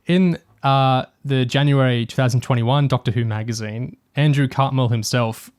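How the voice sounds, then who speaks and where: A man's voice: mid-range voice, moderate pace, smooth. Man in casual review discussion. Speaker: podcast co-host.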